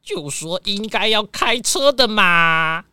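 A woman's voice: mid-range voice, animated, takes it slowly, background noise. A woman voicing a whiny, impatient rich man who loves cars, grumbling and showing off dramatically in a children's detective audio drama. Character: whiny, impatient rich male car lover.

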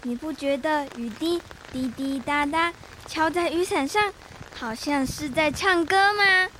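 A schoolgirl playing an excitable, bossy young big sister in a children's radio drama. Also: high voice, a little slow, slight background noise. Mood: excited.

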